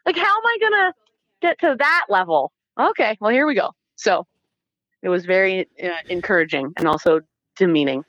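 A Canadian-accented woman telling stories casually as an interview guest, animated and informal, acting out bits of dialogue as she goes. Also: mid-range voice, normal speed, no room sound, highs cut off. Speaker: interview guest, former Olympic athlete. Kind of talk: casual conversational storytelling.

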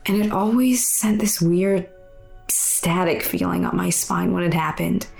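A woman's voice: lowish voice, unhurried, vocal fry, a little mellow. A young woman acting in an audio drama as a weary, candid non-binary muse, telling their story in a plain, conversational first-person way. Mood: candid, wistful. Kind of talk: confessional monologue in audio fiction. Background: music under the voice.

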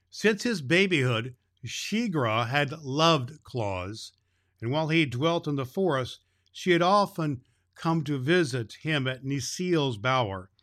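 A man's voice: mid-range voice, a bit bright, dry recording, studio quality. A man reading a children's story aloud as a storyteller, expressively, with small stumbles over the words.

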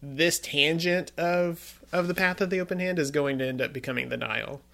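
A man in a casual roundtable chat, speaking in a halting, conversational way as a panelist. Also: fairly high pitch, creaky, a bit bright. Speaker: podcast panelist.

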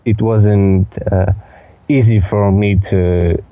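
An eighteen-year-old man with a Polish accent, giving casual interview answers in a hesitant, halting way with repeated words. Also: on the low side, steady tone, mellow, dry recording, highs cut off. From Poland. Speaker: podcast guest, dance instructor.

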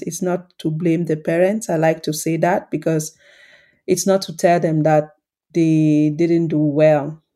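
A woman with a low voice talks calmly and thoughtfully to her podcast listeners. She keeps her tone warm and mellow and her pitch even, with little rise or fall. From Cameroon. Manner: calm, reflective, explanatory. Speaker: podcast host and coach. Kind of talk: solo podcast talk.

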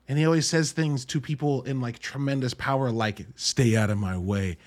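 A man hosting a podcast, giving an informal, wry solo review in an emphatic, halting way with drawn-out words. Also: mid-range voice. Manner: informal, wry, mildly profane. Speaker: podcast host reviewing films. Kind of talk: casual solo review monologue.

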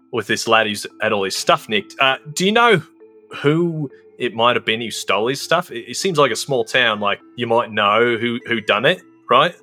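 Give me a hesitant, polite man with a bright voice. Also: mid-range voice. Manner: hesitant, polite, lots of fillers. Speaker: player. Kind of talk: improvised tabletop roleplay in character.

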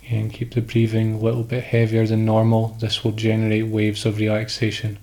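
A man guiding a sleep meditation in calm, instructional short phrases with pauses between them. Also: on the low side. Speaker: meditation guide.

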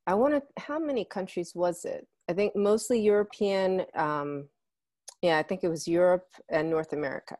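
A woman's voice: on the low side, moderate pace, a little mellow, dry recording, studio quality. A woman hosting a podcast interview, chatty and full of filler words. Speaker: podcast host.

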